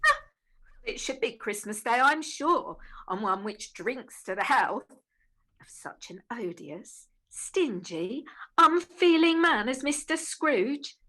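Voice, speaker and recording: A woman performs a Victorian working-class mother in a radio drama. Her high voice swings widely and takes its time, emphatic and scolding, yet fond of her brood. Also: sing-song, slow, sharp tone, full character voice. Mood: indignant. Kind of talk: amateur radio-play acting.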